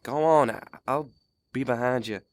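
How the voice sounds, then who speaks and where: A man's voice: mid-range voice, normal speed, natural voice. A man playing a dim-witted, anxious bandit in an audio drama, hesitant and folksy, with a rural American accent. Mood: pained, evasive.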